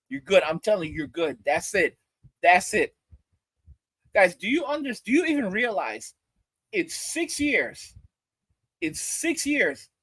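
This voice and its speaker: A man's voice: high-pitched, fairly bright tone, no room sound. A man in his forties ranting in commentary over a clip, stammering and repeating himself with mocking asides. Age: 40s.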